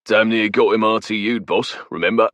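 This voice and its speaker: A man's voice: on the low side, fairly even intonation, moderate pace, fairly bright tone, dry recording, voice pushed up, close to an everyday voice. A man voicing a hulking male soldier with a hard, snapping Cockney accent. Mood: snappy.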